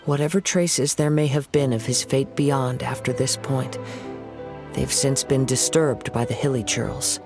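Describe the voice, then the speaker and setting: A man voicing a calm, sharp young detective in a game, laying out his reasoning in a composed, analytical way. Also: mid-range voice, measured pace, over music, close to an everyday voice.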